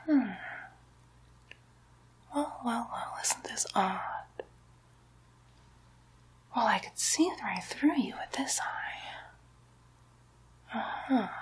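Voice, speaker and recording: A woman voicing a playful, sly female demon doctor in an ASMR medical roleplay, whispering softly in a slow, teasing, lightly menacing way. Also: on the high side, rough recording. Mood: puzzled, curious. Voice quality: soft breathy whisper.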